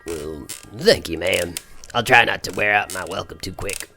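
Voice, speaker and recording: A man's voice: sits low, normal speed, creaky, bright, forceful tone, faint music behind, full character voice. A man playing a sheepish but proud down-and-out trucker in audio fiction, folksy and courteous, with an American accent. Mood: grateful, courteous.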